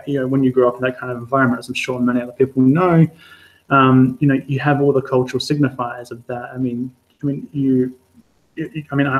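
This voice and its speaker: A man's voice: mid-range voice, rough recording. A man from Adelaide with an Australian accent, a freelance journalist giving a candid talk to an online audience, hesitant, with many fillers and restarts. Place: home or studio for a live stream. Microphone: streaming setup.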